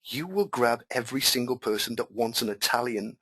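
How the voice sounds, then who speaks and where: Husky man teaching a webinar. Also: mid-range voice, lively intonation, a little fast, a bit bright. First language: Welsh. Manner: emphatic, repetitive, walks through screen steps. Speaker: online marketing trainer.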